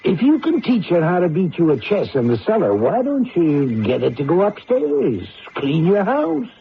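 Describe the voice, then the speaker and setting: Casting: mocking male skeptic, higher-pitched and gravelly, wisecracking, on muffled old radio. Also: wildly swooping pitch, normal speed, slight background noise, full character voice. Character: teasing, skeptical male friend of an inventor. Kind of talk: radio drama acting.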